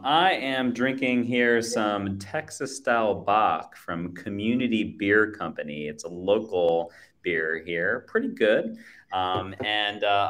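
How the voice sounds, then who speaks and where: A man's voice: lowish voice, a bit bright. A man from Ohio co-hosting a casual video call chat in a laid-back, joking way. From Cleveland, Ohio. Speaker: podcast co-host. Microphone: video call webcam.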